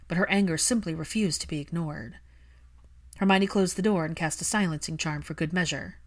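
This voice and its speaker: A woman reading scripted fiction aloud as an audiobook narrator. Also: low-pitched.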